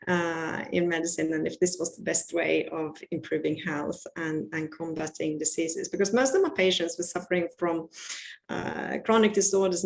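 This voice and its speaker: A woman's voice: on the low side, vocal fry, rough recording. Swedish-accented woman giving a webinar talk. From Sweden. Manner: chatty, self-deprecating, restarts often. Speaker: guest speaker, researcher. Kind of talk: webinar presentation.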